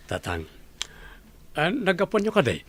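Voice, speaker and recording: A man's voice: sits high, wildly swooping pitch, takes it slowly, fairly bright tone, a little hiss or hum, full character voice. A man playing a kind, obliging family friend with a friendly manner in an audio drama. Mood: friendly, offering.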